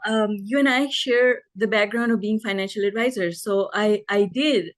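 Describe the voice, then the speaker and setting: A middle-aged woman from Pakistan, a guest on a podcast, telling her life story in relaxed conversation and laughing often. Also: mid-range voice, moderate pace. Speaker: guest, life coach and author.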